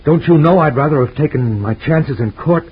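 A guilt-ridden, pleading husband. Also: male voice, fairly high pitch, lively intonation, normal speed, slightly rough. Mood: desperate. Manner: emotional, pleading. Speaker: lead actor. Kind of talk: 1940s radio acting. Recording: dull lo-fi old radio recording.

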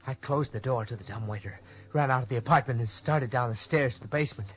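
A man's voice: medium pitch, fast talker, natural voice. An actor playing a panicked young man who narrates his own desperate cover-up in old-time radio suspense style, tense and stammering when pressed. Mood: tense narrating. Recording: dull old radio recording, loud music.

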